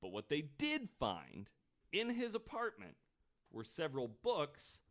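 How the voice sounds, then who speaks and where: A man's voice: a little high, huge pitch swings, unhurried, fairly bright tone. A man podcast host telling a story in a casual, informal way with some swearing. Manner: informal, profane.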